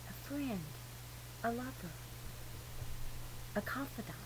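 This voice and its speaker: A woman's voice: a little high, expressive, slow pace. A woman giving an informal, rambling religious monologue in an earnest, emphatic, digressive way. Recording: noisy amateur recording with clear hum.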